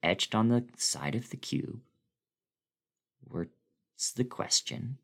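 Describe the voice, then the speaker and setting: Male narrator, very low voice, suspenseful and theatrical horror storytelling with pregnant pauses. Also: expressive, vocal fry. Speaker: guest reader of a horror story. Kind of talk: dramatic first-person horror story reading.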